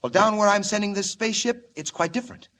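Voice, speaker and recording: An actor playing an earnest, urgent alien scientist in grave, theatrical 1940s radio style. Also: male voice, sits high, quick pace, bright, forceful tone. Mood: earnest, explaining. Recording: old 1940 radio recording, echoey in places.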